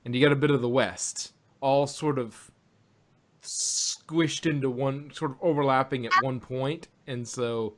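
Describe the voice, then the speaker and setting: An American man from Texas hosting a podcast in casual chat, talking in a halting, self-correcting, jokey way. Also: medium pitch, fairly even intonation, a little hiss or hum. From Texas, United States. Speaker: podcast host.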